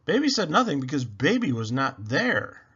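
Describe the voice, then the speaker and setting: A man reading a children's storybook aloud with expressive narration. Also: fairly high pitch, moderate pace, breathy edge, muffled recording.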